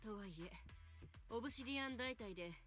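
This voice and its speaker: A woman's voice: fairly high pitch, racing, a bit bright, natural voice. An actress playing a composed, commanding female military officer in an anime video game, with formal phrasing. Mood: reassuring, assertive. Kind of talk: Japanese video game voice acting. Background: game music.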